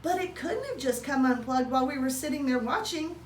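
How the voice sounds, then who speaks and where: A kindly, folksy storybook father, acted out by a woman reader, expressive and easily puzzled. The voice is high and swoops widely, heard in a roomy space. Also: a little fast. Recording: roomy, distant mic, faint background noise.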